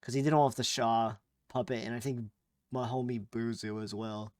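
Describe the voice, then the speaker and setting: A man's voice: medium pitch, steady tone, moderate pace, a little mellow, no echo, studio quality. Laid-back young man chatting casually. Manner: laid-back, rambling with fillers and restarts. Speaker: podcast co-host. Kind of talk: casual chat.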